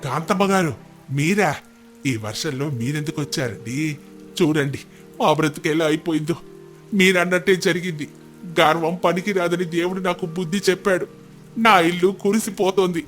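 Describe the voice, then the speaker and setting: A man's voice: a little high, moderate pace, long pauses, a bit bright, soft background music, close to an everyday voice. A man playing a remorseful, humbled elderly village husband in a moral story, apologetic and earnest. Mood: distressed. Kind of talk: moral storybook character dialogue.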